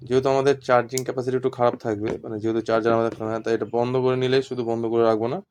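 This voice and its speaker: Flat-voiced man explaining, noisy recording. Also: mid-range voice, racing. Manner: repetitive step-by-step explaining. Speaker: instructor demonstrating walkie-talkies. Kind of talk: informal hands-on tutorial.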